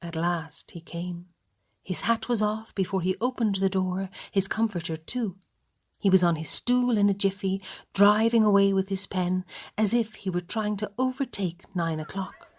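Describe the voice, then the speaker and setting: An Irish woman narrating a radio play in a measured, softly hushed storytelling manner. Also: mid-range voice.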